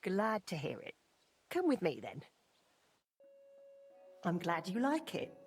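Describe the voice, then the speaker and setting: An actress playing a kindly, wistful older widow and plain-spoken townswoman with a husky voice in a video game. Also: on the low side, huge pitch swings, takes it slowly, no echo, soft background music, heavily acted. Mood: kindly, welcoming. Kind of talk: video game dialogue acting.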